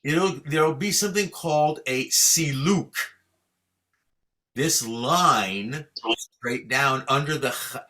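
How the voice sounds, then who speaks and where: Bright-voiced man teaching chant, unhurried. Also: mid-range voice. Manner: patient, encouraging, chants each sign slowly for students to repeat. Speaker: cantor teaching Torah chanting. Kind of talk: online class, call-and-response chanting. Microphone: video call.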